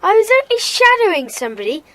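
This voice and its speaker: A woman's voice: very high voice, big pitch swings, fast talker, dry recording. A woman playing a young schoolboy in a children's audio drama, protesting in a nervous, plaintive way. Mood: frightened, pleading.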